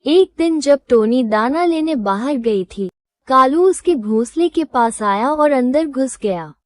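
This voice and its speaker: A woman reading a scripted children's moral story as storyteller, in a measured, instructive manner. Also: sits high, lively intonation, no echo.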